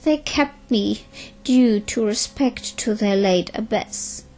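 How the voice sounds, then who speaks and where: A woman's voice: mid-range voice, takes it slowly, darkish tone. A woman voicing a sorrowful outcast turtle-spirit nun in an audio drama, speaking plaintively. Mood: hurt, sad.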